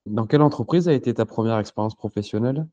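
Steady male interviewer, mellow tone. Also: mid-range voice, fast talker, dull audio. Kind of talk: reading scripted interview questions.

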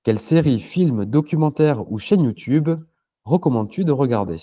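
A man reading scripted interview questions at an even pace. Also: mid-range voice, moderate pace.

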